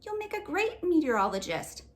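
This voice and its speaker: A woman's voice: very high-pitched, very animated, moderate pace, voice pushed up. A woman voicing a kind, patient mother in a children's book read-aloud, comforting and encouraging. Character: kind, encouraging mother of two young girls.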